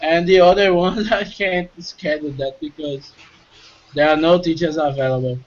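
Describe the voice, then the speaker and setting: A Brazilian man with a Portuguese accent, a student on a video call who speaks English slowly and hesitantly, with many fillers. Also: on the high side, fairly even intonation. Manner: slow, hesitant, filler-heavy. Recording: dull call audio with faint noise.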